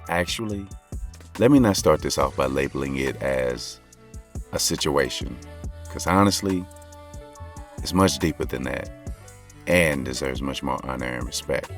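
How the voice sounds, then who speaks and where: A man's voice: very deep voice, animated, measured pace, long pauses, exaggerated voice. A male actor with an American accent playing a lovestruck, regretful basketball coach who confides in listeners in slangy, conversational audio drama narration. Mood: earnest, confiding. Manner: slangy, confessional, intimate. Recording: music playing under the voice. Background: music.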